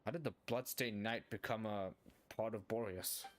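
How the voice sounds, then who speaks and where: A man's voice: fairly low pitch, steady tone, moderate pace, a little hiss or hum. A man giving casual commentary on a game playthrough, sometimes reading dialogue aloud. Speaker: gaming streamer. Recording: faint game music behind.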